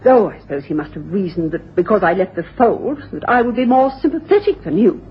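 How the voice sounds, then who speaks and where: An actress playing an adamant, scornful well-bred widow in a radio drama, blunt, emphatic and disdainful. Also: female voice, mid-range voice, animated, fairly quick, breathy edge. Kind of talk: 1980s radio drama acting. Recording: dull, noisy old radio broadcast.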